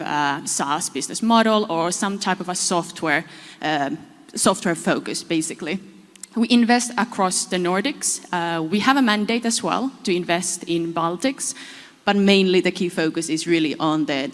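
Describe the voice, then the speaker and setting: Finnish-accented woman in an echoey hall. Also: fairly low pitch. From Finland. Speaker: panelist, venture capital investment manager. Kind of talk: prepared self-introduction to a conference audience.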